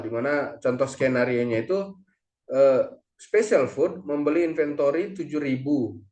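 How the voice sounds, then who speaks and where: A man explains material step by step as a presenter, in a halting way with self-corrections. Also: a little high, a little mellow. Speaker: accounting lecturer or presenter. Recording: echoey, reverberant room sound.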